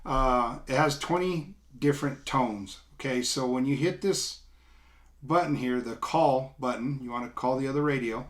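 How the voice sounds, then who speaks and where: A middle-aged man with an American accent gives a casual, unscripted product demonstration in a plain-spoken, informal way, explaining things step by step with halting delivery. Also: mid-range voice, slight background noise. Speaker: product reviewer. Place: small indoor room, seated at a table.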